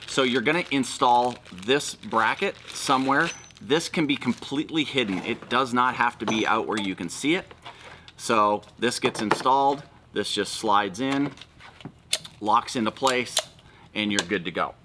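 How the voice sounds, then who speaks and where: A man's voice: medium pitch, measured pace, noisy background. A man hosting a casual product walkthrough, explaining things informally and step by step. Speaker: video host.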